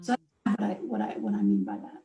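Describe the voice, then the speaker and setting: A woman's voice: a bass voice, sing-song, fast, dark tone, lo-fi sound. A middle-aged woman, a life coach, talking about herself and her beliefs in an upbeat, emphatic way, often in short listed phrases. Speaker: life coach, interview guest. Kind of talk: casual interview talk. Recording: echoey room.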